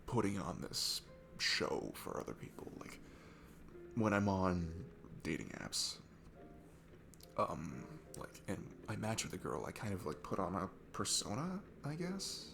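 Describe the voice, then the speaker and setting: A man's voice: deep voice, sing-song, unhurried, gravelly, music in the background, exaggerated voice. A young man playing a shy, nervous, sweet guy at a bar in an ASMR roleplay, talking in a hesitant, rambling, self-deprecating way. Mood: earnest, open. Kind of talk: ASMR roleplay, one-sided intimate conversation.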